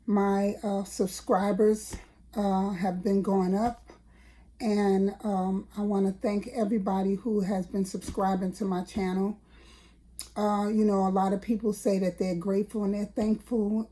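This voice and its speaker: A middle-aged woman with a raspy, slightly deepened voice, talking in a casual personal vlog, hesitant with many fillers and earnest repetitions. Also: warm tone. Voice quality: raspy, deeper than usual. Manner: earnest, repeats herself for emphasis. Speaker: vlogger.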